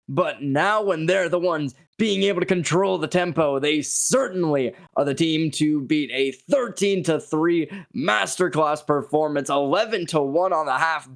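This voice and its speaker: A man giving live analysis as an esports caster, conversational and opinionated, with emphatic phrasing. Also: on the high side.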